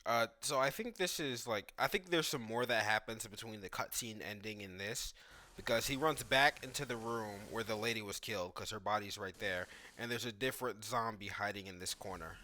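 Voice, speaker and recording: A man's voice: lowish voice, brisk, sharp tone. A man giving casual, analytical commentary on video game footage.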